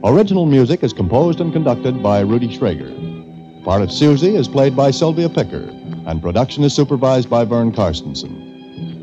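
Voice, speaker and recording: A man's voice: medium pitch, dull audio. A man announcing credits for a 1940s radio show, in a formal, declamatory manner.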